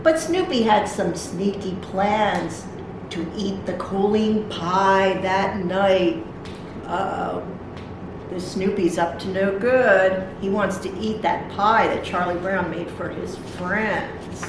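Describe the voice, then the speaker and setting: A woman's voice: medium pitch, slow pace. A woman reading a picture book aloud to young children, adding simple explanatory asides as a library storytime reader. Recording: very echoey room, distant mic, noisy.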